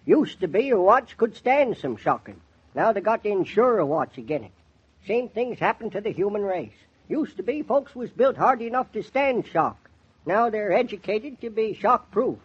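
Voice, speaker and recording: A high, raspy old country man in a 1940s radio drama, speaks with a rural American accent. He is crusty, thrifty and nostalgic, musing in a folksy drawl on a muffled vintage recording. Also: sing-song, heavily acted. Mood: grumbling. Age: older. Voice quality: husky. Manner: folksy, grumbling, drawn-out musing.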